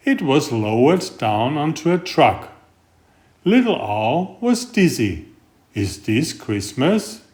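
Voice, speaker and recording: A man reading a picture book aloud in a gentle, unhurried storytelling manner. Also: mid-range voice. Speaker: storybook reader. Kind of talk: slow read-aloud picture book narration.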